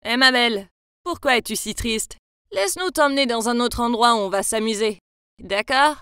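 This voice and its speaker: A woman's voice: a little high, moderate pace, no room sound, clean studio sound, lightly acted. A woman voicing a taunting young male street thug who speaks in a mocking, menacing way.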